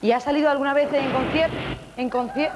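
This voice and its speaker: A woman's voice: sits high, steady tone, fairly quick, lo-fi sound. A woman hosting a TV variety show, questioning guests with playful, mock-indignant bossiness. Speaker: TV show host. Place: TV studio.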